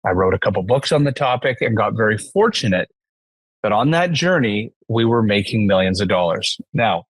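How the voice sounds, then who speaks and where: A man's voice: fairly low pitch, lively intonation, normal speed, no echo, rough recording. A man in his forties with a Canadian accent, telling business stories conversationally as a podcast guest. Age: 40s.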